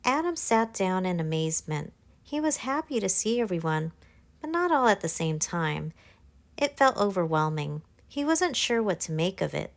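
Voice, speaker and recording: A woman narrating a children's picture book, reading aloud in simple, clear phrases for young listeners. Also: mid-range voice, very animated, normal speed, no echo. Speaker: author narrating her own children's book. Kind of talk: scripted children's storybook narration.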